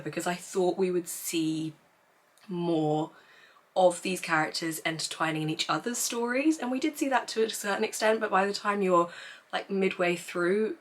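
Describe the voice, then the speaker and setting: Young woman, book vlogger, croaky, lost-voice hoarseness, lowish, chatty. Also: a bit bright. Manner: chatty, self-deprecating, laughs often. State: hoarse, losing her voice. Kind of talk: casual book review monologue.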